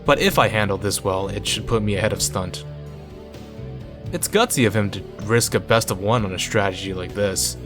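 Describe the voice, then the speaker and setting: A man's voice: lowish voice, moderate pace, over music. A man reading a visual novel aloud in a narrating style, with casual asides.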